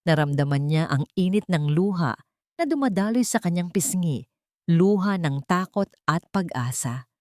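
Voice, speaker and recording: A Filipino woman narrates a dramatic story as an audiobook. Her voice sits low for a woman, and the recording is dry, with very little room around it. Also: expressive, normal speed, darkish tone, no echo.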